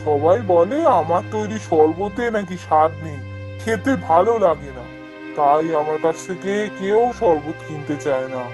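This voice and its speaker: Woman playing a low-pitched, humble poor street seller. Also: moderate pace, music in the background, close to an everyday voice. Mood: dejected. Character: poor, downcast, kindly husband who sells sherbet. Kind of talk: cartoon fairy-tale acting.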